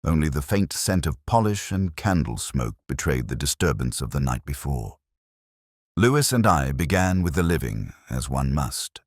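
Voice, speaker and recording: A world-weary middle-aged detective, voiced by a man, telling his case in the first person. His voice sits extremely low yet moves through a lively, rising and falling melody. He speaks in a measured, wry way, recorded close and dry with no room sound. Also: no room sound, full character voice. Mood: brooding. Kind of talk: first-person scripted audio drama narration.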